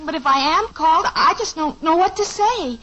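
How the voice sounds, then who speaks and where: An actress playing an anxious, dithering teenage daughter in 1950s radio sitcom acting. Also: female voice, very high voice, animated, moderate pace, bright, forceful tone, full character voice. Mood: fretful. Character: fretful teenage girl, eldest daughter. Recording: dull old radio recording, faint hiss.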